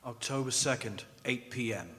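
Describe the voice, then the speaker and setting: An earnest young husband and vampire hunter, acted by a man in old-time radio style. He speaks at an average pitch in terse diary phrases. Long hall reverb marks it as a live stage recording. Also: normal speed, bright, forceful tone, close to an everyday voice. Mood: sober, determined.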